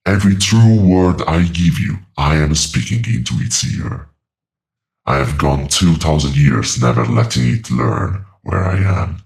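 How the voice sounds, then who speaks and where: A man playing an ancient, weary demonic entity in a horror audio drama, gravelly and solemn, speaking in deliberate, ornate phrases. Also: heavily acted. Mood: grave, foreboding. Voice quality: very deep, gravelly. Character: ancient two-thousand-year-old demonic entity in a borrowed mouth, weary, grave.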